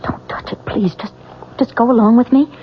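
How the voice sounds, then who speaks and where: A woman's voice: on the high side, normal speed, whispered, lightly acted. An actress playing a nervous, secretive young married woman in an old radio drama, anxious and hesitant, stammering as she talks. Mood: anxious, pleading. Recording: muffled old radio recording, faint noise.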